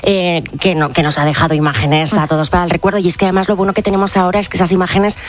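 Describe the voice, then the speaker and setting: A woman's voice: fairly low pitch, steady tone, very fast. A woman giving conversational live commentary as a radio contributor, often hesitating and restarting phrases.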